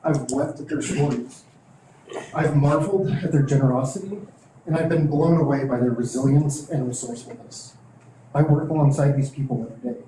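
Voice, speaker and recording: A man's voice: medium pitch, mellow. A middle-aged man, a teachers' union leader, earnestly reading a prepared statement at a school board meeting. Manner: earnest, measured, heartfelt. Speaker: teachers' union president and public commenter. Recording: echoey meeting room, faint hiss.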